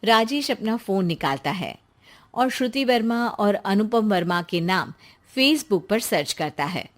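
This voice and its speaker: A woman narrating a scripted cartoon story in a plain, steady way. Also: mid-range voice, unhurried.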